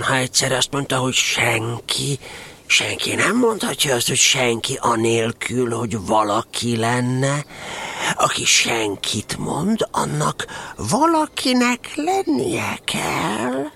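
Naive honey-loving bear, creaky bright voice, earnest n polite, radio play. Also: mid-range voice, very animated, full character voice. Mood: musing. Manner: musing, earnest, polite, hums nonsense syllables. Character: slow, naive, good-natured honey-loving toy bear. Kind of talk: audio drama character acting.

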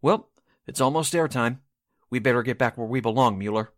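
A man's voice: mid-range voice, brisk, close to an everyday voice. A man playing an affable, efficient 1940s radio station employee in a radio drama, explaining things in a businesslike way. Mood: explanatory.

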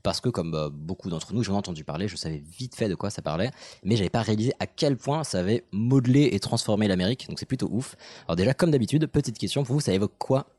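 A hoarse man hosting a casual podcast, talking quickly and trailing off quietly. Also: fairly low pitch, expressive, no echo. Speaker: podcast host.

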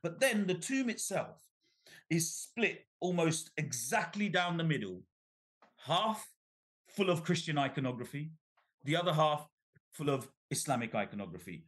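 A middle-aged man, born in Bangladesh, talks as an interview guest. His voice sits a little higher than most men's and rises and falls with lively energy. He tells a long story, tripping over his words and restarting often. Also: normal speed. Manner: animated storyteller with frequent restarts and repeated words. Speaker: author, guest.

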